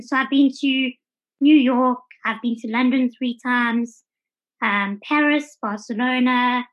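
A woman's voice: sits high, dull audio. A South African woman in her thirties giving articulate, orderly answers as a guest in a conversational interview. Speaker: podcast guest.

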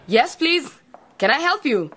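A flippant young student in a radio drama. Her voice is on the high side and swings about animatedly in an informal way. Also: very animated, measured pace, a bit bright, heavily acted. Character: flippant young female student in campus dorm.

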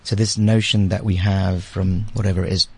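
Male British interview guest, deep raspy voice, fairly flat delivery. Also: normal speed. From UK, born in India, grew up in London. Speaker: interview guest, advertising agency managing director. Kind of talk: conversational explanation. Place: radio studio.

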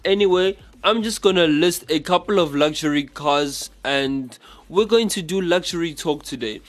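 A man from South Africa hosting a casual radio chat show as a DJ. He talks in a chatty, rambling way and second-guesses himself mid-sentence. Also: fairly high pitch. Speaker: radio DJ host.

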